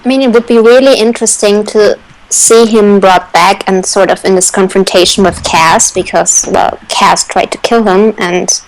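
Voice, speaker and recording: A woman's voice: mid-range voice, steady tone, moderate pace, rough recording. A woman giving her opinions as a panelist in a casual group discussion.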